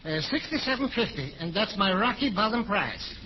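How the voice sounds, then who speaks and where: A wisecracking, wheedling shop salesman in an old radio comedy. His voice is high and bounces around a lot, on a muffled vintage recording. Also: big pitch swings, moderate pace, slight background noise. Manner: wisecracking, chuckles after his own jokes. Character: jokey, wheedling furniture salesman, a cheerful father. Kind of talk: 1940s radio comedy acting.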